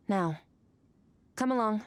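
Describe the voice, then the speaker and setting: An actress playing an aloof, powerful warrior woman in a video game, cool, measured and blunt. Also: mid-range voice, a little hiss or hum. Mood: curt, aloof. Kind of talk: video game character acting.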